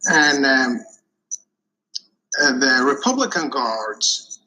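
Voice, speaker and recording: A man in his forties, born and raised in Iraq, answering interview questions in a casual, halting way with many fillers and restarts. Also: medium pitch, sing-song, bright, forceful tone, poor audio. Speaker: podcast guest.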